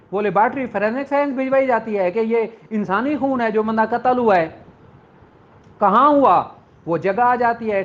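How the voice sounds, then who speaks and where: A man giving a slow, deliberate classroom law lecture, addressing his exam students in a fatherly way. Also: extremely high pitch. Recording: muffled lo-fi recording, faint noise.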